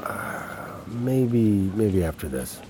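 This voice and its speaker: A man's voice: lowish voice, big pitch swings, very slow, very noisy, full character voice. An actor playing an affable middle-aged father and security chief in an audio drama, easygoing and a little hesitant, in a naturalistic style. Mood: warm, casual.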